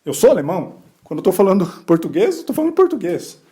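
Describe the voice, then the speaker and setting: Male podcast host from Brazil, slightly high, warm mellow voice, chatty explainer. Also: very animated, fast talker. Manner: conversational, rhetorical questions, frequent "né?" tags; brief voiced asides acting out other people. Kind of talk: explanatory solo podcast talk.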